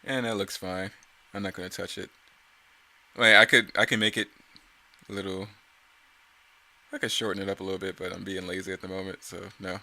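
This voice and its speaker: A man's voice: sits low, level tone, fairly quick, long pauses, loud music behind the voice. A man giving casual, off-the-cuff creator commentary in a rambling, self-correcting way. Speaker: creator giving commentary on own motion comic series.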